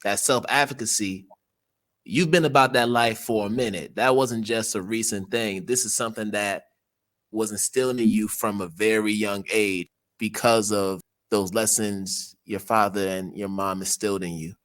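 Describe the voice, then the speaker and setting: Male podcast host, lowish voice, earnest, builds up long questions. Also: fairly even intonation, normal speed, fairly bright tone. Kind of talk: interview questions.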